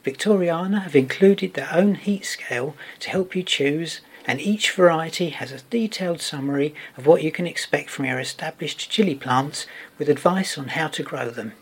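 A man's voice: on the high side, normal speed, breathy edge. A man reading a scripted sponsor message.

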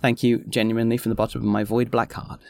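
A man's voice: medium pitch, level tone, quick pace, creaky, no room sound. A man with a northwestern English accent, hosting a scripted podcast announcement in a playfully theatrical way. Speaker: audio drama creator and host.

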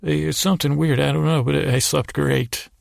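Man, thick gravelly creak, meandering bedtime monologue, stammered repeats, dry close recording. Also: a little high, steady tone, normal speed, no echo. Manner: whimsical, self-deprecating. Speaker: podcast host. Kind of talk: rambling bedtime sleep-story monologue.

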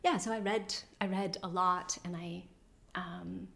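Soft-spoken, thoughtful woman author. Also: on the low side, steady tone, creaky. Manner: thoughtful, hesitant, many pauses and fillers. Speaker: novelist being interviewed. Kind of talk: reflective conversational interview.

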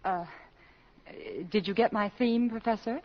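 A woman's voice: on the high side, measured pace, natural voice. An actress playing a flustered, proper middle-aged woman who is a college freshman, speaking politely and hesitantly in a 1940s radio comedy. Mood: polite, uneasy. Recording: muffled 1940s radio broadcast.

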